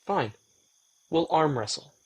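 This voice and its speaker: A man's voice: on the high side, expressive, extremely slow, breathy edge, dry recording, exaggerated voice. A man playing a weary, sardonic, reluctant young vampire in an intimate audio drama, guarded and dry. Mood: teasing.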